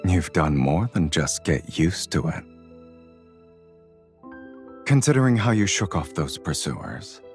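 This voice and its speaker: An actor playing a cool, teasing, protective male gang leader in a romance game, unhurried and self-assured. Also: big pitch swings, a little mellow, faint music behind, full character voice. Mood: teasing, fond. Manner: unhurried, low-key, smoothly teasing.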